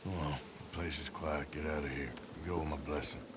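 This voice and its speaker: An actor playing a weary, blunt, ailing outlaw with a gruff American Western drawl in a video game. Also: male voice, rumbling low, flat delivery, a little fast, noisy background. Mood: resigned. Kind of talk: naturalistic game cutscene acting.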